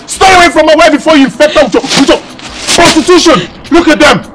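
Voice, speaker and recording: A man's voice: very high-pitched, a little fast, very noisy. A man with a Nigerian accent playing a jealous, domineering husband in a film drama, scolding and commanding and repeating himself. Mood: angry, threatening. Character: jealous, domineering husband guarding his young village wife.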